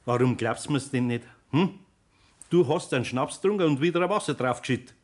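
A man's voice: medium pitch, expressive, brisk, natural voice. An actor playing a grumbling, fatherly older craftsman with a Bavarian accent in a 1960s radio play, chiding in a folksy way. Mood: knowing, teasing.

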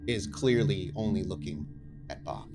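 A man working as game master, narrating a tabletop game in halting, deliberate phrases. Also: sits low, lively intonation, over music.

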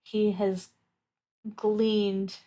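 A woman's voice: mid-range voice, flat delivery, extremely slow, mellow. A woman playing a tabletop roleplaying game, talking casually and thinking her answers through aloud in a thoughtful way. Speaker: player in an actual play podcast.

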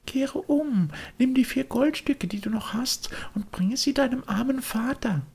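A man voicing the gentle, solemn ghost of a cricket in a soft, hollow, breathy whisper, pleading slowly in a storybook reading. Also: very high-pitched, pitched above the performer's own voice, full character voice. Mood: solemn warning. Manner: slow, drawn-out pleading.

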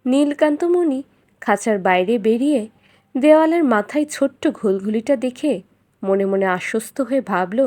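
High-voiced woman narrating a fairytale. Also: animated, normal speed, a little mellow. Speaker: storyteller.

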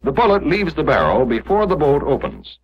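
American 1940s training film narrator, muffled soundtrack. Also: male voice, fairly high pitch, sing-song, moderate pace, husky.

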